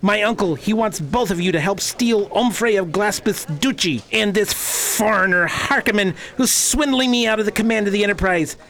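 A man's voice: sits high, normal speed, hardly any pauses, a bit bright, low-quality recording. Actor as a paranoid, ranting nobleman. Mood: accusing, indignant. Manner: accusing, ranting. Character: paranoid, raving spurned nobleman lord. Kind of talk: audio drama acting.

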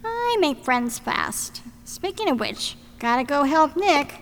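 A woman plays an eager young investigator in a radio play. Her voice is high and perky, with emphatic, friendly delivery. There is slight noise in the recording. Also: very animated, slow, exaggerated voice. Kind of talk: audio drama acting.

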